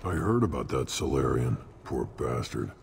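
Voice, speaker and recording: An actor playing a surly, blunt alien warrior in a video game, gruff and dismissive, with a deep gravelly voice. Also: male voice, big pitch swings, moderate pace, heavily acted. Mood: gruff, matter-of-fact. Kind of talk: video game character acting. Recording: long reverb, clear background noise.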